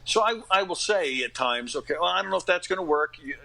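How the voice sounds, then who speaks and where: An American man in his fifties talking casually as an interview guest, in a rambling, self-effacing, animated way. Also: sits high, quick pace, vocal fry. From United States. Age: 50s. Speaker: guest, actor and comedy dinner theater director.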